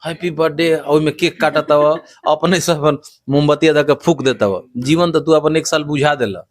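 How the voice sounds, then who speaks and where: A man's voice: on the high side, normal speed, no room sound, studio quality. A man with a Bhojpuri accent speaking casually, as in an interview.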